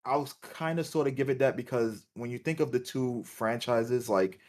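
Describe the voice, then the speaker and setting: A man's voice: medium pitch, moderate pace, darkish tone. A man co-hosting a podcast in casual banter, opinionated and emphatic, often repeating himself. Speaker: podcast co-host.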